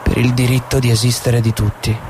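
Against clear background noise, a man acts a calm guide of the dead. His mid-pitched voice is solemn and consoling, in poetic stage drama. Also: a little fast, close to an everyday voice. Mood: solemn, reassuring. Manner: solemn, reassuring. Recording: clearly noisy, outdoor-like background.

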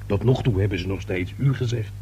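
An actor playing a nosy, grumbling working-class man in a radio drama, talking in a halting, colloquial, self-important way with a husky, gravelly voice. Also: lowish voice, warm tone, highs cut off. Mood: knowing.

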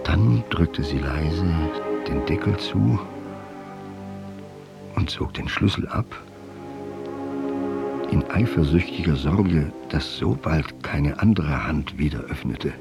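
An actor playing a pensive author, narrating a literary audio drama in a measured, contemplative way. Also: male voice, very deep voice, animated, creaky, heavily acted. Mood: measured, wistful. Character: pensive male author telling his tale. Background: music.

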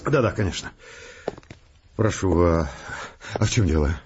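A man's voice: low-pitched, animated, unhurried, darkish tone, dull audio, a little hiss or hum. An actor playing a nervous, puzzled and touchy male scientist in an audio drama, hesitant and easily flustered. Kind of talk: Russian audio drama acting.

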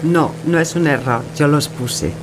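A woman's voice: low-pitched, unhurried. A woman playing a resolute, composed lover saying farewell in a radio play. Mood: firm. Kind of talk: radio theatre acting. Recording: music and engine noise behind.

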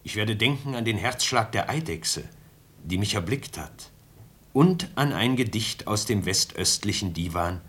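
A man's voice: on the low side, lively intonation, a little slow, fairly bright tone. An actor playing a wistful man in a reflective, lyrical radio play monologue of memories.

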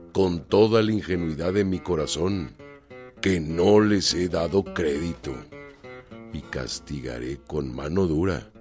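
An actor playing a commanding Mexican revolutionary general in a radio drama, with a Mexican accent. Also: male voice, rumbling low, a little mellow, exaggerated voice. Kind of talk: radio drama acting, dictating letters and orders.

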